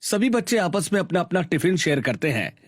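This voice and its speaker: Higher-pitched male story narrator. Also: big pitch swings, brisk, dry recording.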